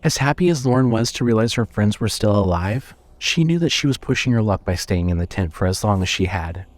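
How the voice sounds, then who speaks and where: A man's voice: lowish voice, animated, brisk, no room sound. A man narrating a scripted horror story as the narrator of an audio drama.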